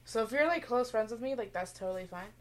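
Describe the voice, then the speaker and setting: A woman's voice: a little high, expressive, fast talker. A woman co-hosting a podcast in casual, joking banter, rambling and playfully sarcastic. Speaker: podcast co-host.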